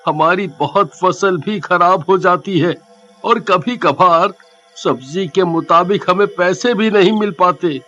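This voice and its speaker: Man playing a high-pitched, plaintive village farmer. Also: takes it slowly, pushed and bright, dull audio, slight background noise, exaggerated voice. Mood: worried. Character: poor male village farmer, worried, weary, complaining. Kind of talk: cartoon moral story acting.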